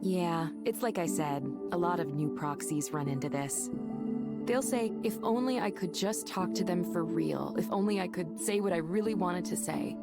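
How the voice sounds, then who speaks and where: A woman's voice: fairly low pitch, normal speed, over loud music, lightly acted. A woman playing a self-assured supervisor in a visual novel, explaining things in a conversational, confident way. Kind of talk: naturalistic visual novel voice acting.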